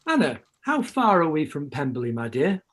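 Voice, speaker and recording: An actor playing a genial, courteous middle-aged uncle in a radio play reading. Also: male voice, fairly high pitch, wildly swooping pitch, moderate pace, fairly bright tone, heavily acted.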